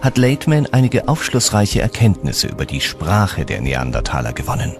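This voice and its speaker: A man's voice: on the low side, huge pitch swings, normal speed, music in the background. A man reading scripted documentary narration.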